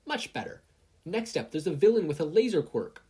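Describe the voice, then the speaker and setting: A confident female pro-hero mentor, played by a story reader. The delivery is brisk and animated, swinging widely in pitch with a husky edge. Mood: rapid, testing.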